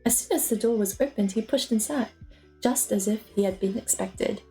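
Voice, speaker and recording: A woman's voice: medium pitch, normal speed, warm tone, low-quality recording. A woman streamer reading a storybook aloud as a dramatic narrator. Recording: music playing behind the voice.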